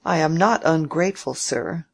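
A reader voicing a proud, courteous young gentleman who speaks formally. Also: medium pitch, normal speed, gravelly, slightly rough. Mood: polite defensive. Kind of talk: audiobook character reading.

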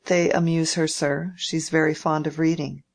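A reader voicing a proud, courteous young gentleman who speaks formally. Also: medium pitch, level tone, moderate pace, lightly acted. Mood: polite defensive. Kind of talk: audiobook character reading.

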